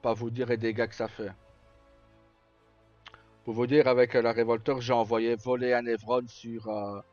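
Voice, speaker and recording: A man live streaming, casually telling roleplay stories in a rambling, halting way with pauses mid-sentence. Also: medium pitch, little pitch movement, a little mellow. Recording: slightly muffled, roomy, faint music. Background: background music.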